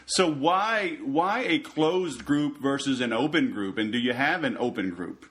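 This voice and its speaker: A male podcast host with a mid-range voice chats in a relaxed, joking way. He is laid-back and casual and keeps the talk light. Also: mid-range voice, a little slow. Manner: joking, laid-back, says "dude" and "man". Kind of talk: casual interview chat.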